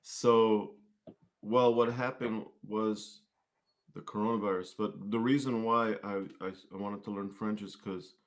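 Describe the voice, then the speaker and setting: A man with a low-pitched voice speaks as a guest in a casual interview. His phrasing is hesitant and halting, in an unscripted conversational style. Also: steady tone. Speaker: guest, musician and martial arts instructor.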